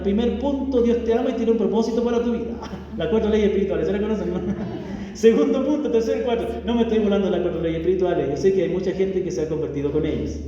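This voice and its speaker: A man's voice: sits high, mellow. A man from Chile with a Chilean accent, a pastor preaching a chatty, joking sermon full of rhetorical questions to the congregation. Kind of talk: conversational sermon. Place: church hall. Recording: echoey church hall from far off, dull.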